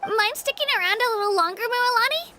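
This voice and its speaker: A woman's voice: very high-pitched, expressive, a little fast, noisy background. A woman voicing a small, earnest young girl in a video game, eager and humble, a little unsure of herself. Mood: earnest, determined.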